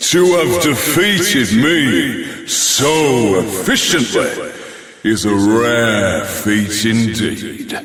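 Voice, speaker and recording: A man's voice: mid-range voice, a bit bright, exaggerated voice. A man playing an ancient, imperious dragon lord in a fantasy video game, with a grandiose, theatrical delivery and amusement at mortals. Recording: echoing, long hall-like reverb.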